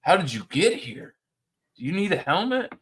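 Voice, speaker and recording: A man's voice: sits high, sing-song, moderate pace, a bit bright, slight background noise. A man chatting casually in a blunt, profane, ranting way. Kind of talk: casual chat. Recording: music playing behind the voice. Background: music.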